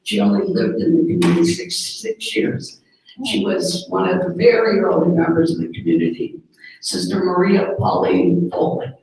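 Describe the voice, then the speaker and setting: A religious sister hosting a gathering, inviting guests to share stories in a chatty way with filler words. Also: female voice, on the low side, animated, moderate pace, gravelly, darkish tone. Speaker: host, sister of the religious community. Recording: echoey room, mic far off.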